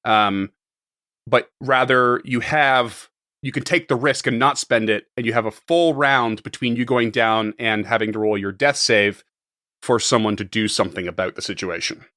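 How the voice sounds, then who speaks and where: A slightly hoarse man running a tabletop game podcast, talking casually, rambling and often laughing. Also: medium pitch, normal speed, bright, forceful tone, dry recording, studio quality. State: a little hoarse. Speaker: game master.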